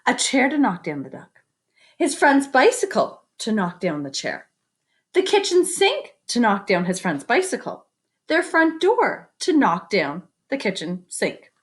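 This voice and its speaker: A woman reading a children's picture book aloud expressively, with chatty asides to her young listeners. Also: fairly high pitch. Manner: expressive, pauses to comment and invite predictions. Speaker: read-aloud storyteller.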